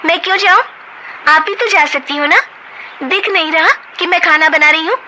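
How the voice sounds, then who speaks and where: A feisty, sharp-tongued young wife , as a woman puts her on, her voice pitched high and bright. She talks back defiantly and in lively swings. Her tone is quick and cutting. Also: a little hiss or hum, low-quality recording.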